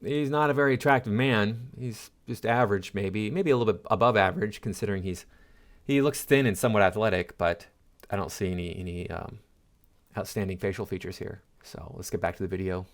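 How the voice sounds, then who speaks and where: A man's voice: lowish voice, a little fast. A man giving casual, opinionated reaction commentary to viewers in a blunt, direct way. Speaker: commentary YouTuber.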